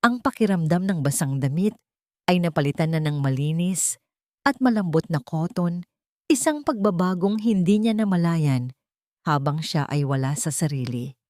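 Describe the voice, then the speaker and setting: A woman narrating a scripted dramatic audio story in a measured, literary way. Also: fairly low pitch, lively intonation, a little mellow, dry recording, studio quality.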